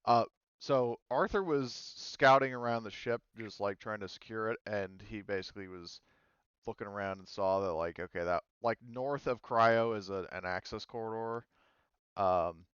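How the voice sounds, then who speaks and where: A man's voice: lowish voice, a little slow, fairly bright tone, smooth, no echo, muffled recording. A man narrating as game master, rambling with many fillers and restarts. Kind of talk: narrating a tabletop game, casual.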